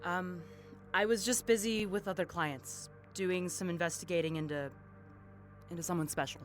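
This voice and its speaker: A woman's voice: lowish voice, normal speed, soft background music, lightly acted. An actress playing a determined but guarded female investigator in an audio drama, earnest and a little hesitant. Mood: subdued, guarded.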